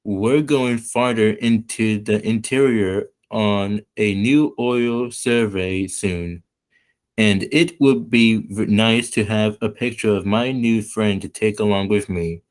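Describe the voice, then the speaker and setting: A man playing a courteous, eager young American engineer reading out a letter in a 1940s-style radio play, measured and slightly halting. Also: lowish voice. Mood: polite, hopeful. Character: polite, eager twenty-two-year-old American mining engineer.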